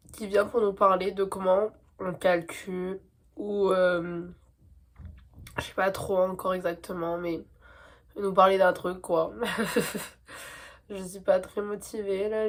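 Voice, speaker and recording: A young woman chatting casually in a vlog diary, congested with a cold, talking in a chatty way with lots of fillers and happy repetition. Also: mid-range voice. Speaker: vlogger.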